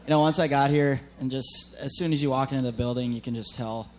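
A young man from Minnesota gives casual answers as a college athlete on stage. His delivery stays quite flat, with faint room hum behind him. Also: medium pitch, fast talker. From Minnesota, United States. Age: 20s. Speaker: college hockey player. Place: on stage at a live event. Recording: live event, humming room noise.

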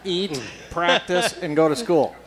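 A man's voice: high voice, measured pace. A man hosting and interviewing on stage at a live event. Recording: live event, noisy room.

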